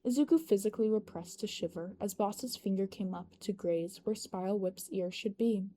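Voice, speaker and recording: A woman narrates scripted fiction as a podfic reader. Her voice is relaxed and mid-pitched. There is some light noise in the background. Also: normal speed.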